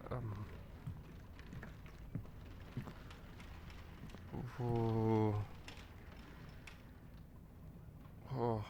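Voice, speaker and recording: A man's voice: medium pitch, a little mellow. A man playing a shy, clueless young catboy pet in a roleplay audio drama, hesitant and stammering as he talks to the listener. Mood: woozy, bashful. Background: ambient noise, rain.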